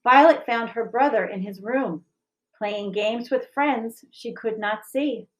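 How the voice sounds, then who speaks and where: A woman reading and chatting for a school audience in an expressive, measured storybook style. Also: on the high side, rough recording. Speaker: school staff member reading aloud. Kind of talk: children's storybook read-aloud and informal discussion.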